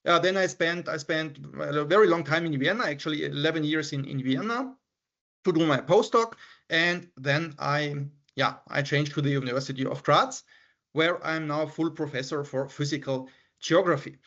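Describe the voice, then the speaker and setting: Brief: middle-aged Austrian German-accented male professor, fairly high voice, halting online lecture, muffled call audio. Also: fairly bright tone. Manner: hesitant, repeats words, many "yeah" and "so" fillers. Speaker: professor giving a seminar talk. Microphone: computer mic on a video call.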